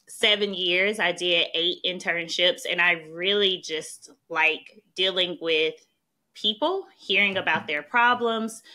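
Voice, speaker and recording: A woman with a strong Southern accent speaks in a lowish voice with a forceful, bright edge. She is a chatty podcast guest who tells her career story in a conversational way, dotted with "um" and "right?". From Mississippi, US. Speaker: podcast guest, policy expert. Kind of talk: conversational interview.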